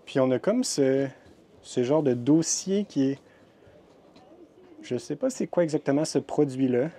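A man's voice: medium pitch, animated, a little slow, warm tone. A man with a Québécois French accent casually narrating a walkthrough of campers at an indoor RV show, chatty and descriptive, with frequent hesitations. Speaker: RV vlogger touring a trade show.

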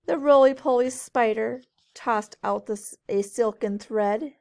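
A woman teacher reading a storybook aloud to children in a measured, sing-song way. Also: fairly high pitch, creaky, a little mellow, clean tone. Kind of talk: storybook read-aloud narration.

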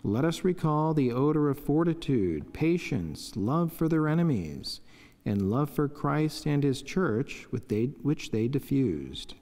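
A middle-aged friar reading a devotional reflection in a solemn, measured, formal way from a chapel lectern. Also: male voice, a little high, sing-song, mellow. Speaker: friar preaching. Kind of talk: read devotional reflection and prayer. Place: wood-panelled chapel indoors, at a lectern. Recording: long echoing chapel reverb.

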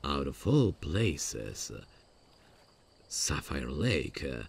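A man playing a smug, infatuated stalker in a horror parody roleplay, coaxing and halting. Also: very deep voice, sing-song, creaky, slightly rough, heavily acted. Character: creepy, infatuated male stalker, smugly coaxing.

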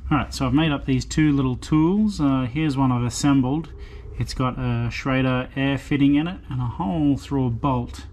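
A man casually narrating his motorbike rebuild in a relaxed, rambling way, with lots of fillers and dry self-deprecating asides. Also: mid-range voice. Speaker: motorbike restoration vlogger. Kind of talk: casual workshop voice-over narration.

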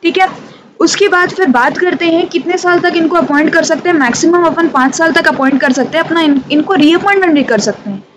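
A woman's voice: very high-pitched, brisk. A woman with an Indian accent giving an informal revision lesson, speaking conversationally and addressing her students directly. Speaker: teacher of company law exam revision. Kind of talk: informal lesson mixing Hindi and English. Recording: clearly audible background noise.

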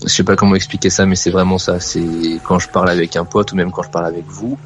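Caller, male, very low voice, halting and hesitant, faint music under. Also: level tone, dull audio. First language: French. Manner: hesitant, many restarts and fillers. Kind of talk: casual phone-in chat.

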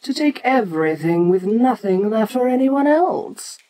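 A woman playing an ancient, imperious kaiju giantess in an audio roleplay, speaking formally and with deliberate, musing condescension. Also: fairly high pitch, sing-song, mellow, low-quality recording. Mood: curious, probing. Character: imperious ancient female kaiju giantess, haughty, curious, domineering. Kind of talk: audio roleplay addressed to the listener.

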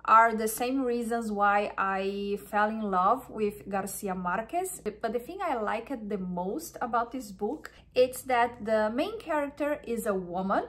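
A woman's voice: fairly high pitch, animated, a little slow, hardly any pauses, fairly bright tone. A woman from Brazil with a Brazilian Portuguese accent, a native Portuguese speaker chatting enthusiastically to camera and often restarting her phrases. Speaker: wellness vlogger. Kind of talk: casual chat to camera.

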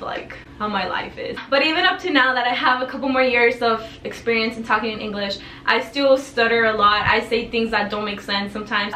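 A woman's voice: a little high, sharp tone, slight background noise. A talkative teenage woman, chatting to camera in her bedroom. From El Paso, Texas, raised in Juarez, Mexico. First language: Spanish. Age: teens. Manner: bubbly, talkative, gestures a lot. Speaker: vlogger. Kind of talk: casual talk to camera. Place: bedroom with tapestry backdrop, indoors. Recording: echoey room, mic a bit far.

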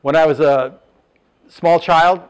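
A man's voice: fairly high pitch, fairly even intonation, slow, clear, resonant tone. A man in his sixties from Southern California, a furniture business owner walking through his showroom and plainly listing sizes and features. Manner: plain, matter-of-fact, lists measurements with fillers. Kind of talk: product presentation.

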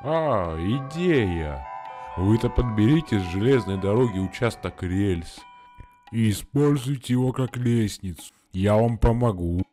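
A man's voice: fairly low pitch, animated, measured pace, music in the background. A man voicing a musing, folksy grown-up neighbor. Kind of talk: amateur cartoon voice acting.

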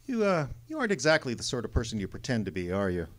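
A man's voice: mid-range voice, wildly swooping pitch, fast. An American man playing a wry, hard-boiled private detective in a noir radio drama, blunt and slangy. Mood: wry, challenging.

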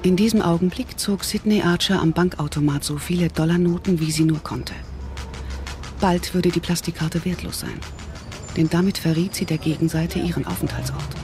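A man's voice: fairly high pitch, normal speed. A man reading scripted narration for a thriller audio drama. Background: music under the voice.

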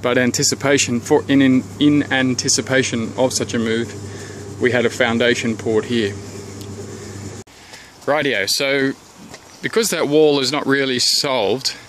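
A man, thinking aloud at an unhurried pace as he walks around his house and plans renovation work, pausing often with ums and restarts. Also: mid-range voice, fairly even intonation, fairly bright tone, slight background noise. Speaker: homeowner giving a renovation tour. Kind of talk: casual thinking-aloud walkthrough. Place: outdoors around a house, under a deck and in a garden. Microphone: handheld camera held by the speaker.

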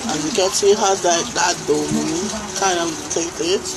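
A young woman from Trinidad with a Trinidadian accent, reviewing makeup brushes into a close phone camera in a small room, talking in an unhurried way with many fillers. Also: fairly low pitch, very noisy. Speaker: beauty vlogger. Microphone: phone camera held close.

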